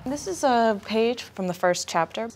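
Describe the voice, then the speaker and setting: A woman's voice: fairly high pitch, expressive, unhurried, noisy background. A woman explaining her creative process as an animator in an interview.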